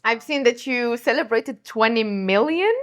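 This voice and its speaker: Higher-voiced Moroccan woman podcast host. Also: animated, a little slow, a bit bright. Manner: friendly, loose phrasing, frequent laughs and "mm". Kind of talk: conversational podcast interview.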